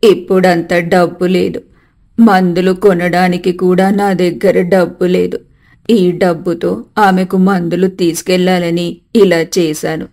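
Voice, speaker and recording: A woman's voice: on the low side, flat delivery, unhurried, darkish tone, voice pushed down. A woman voicing a desperate, apologetic old grandmother in a storybook tale, pleading and sorrowful. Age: older.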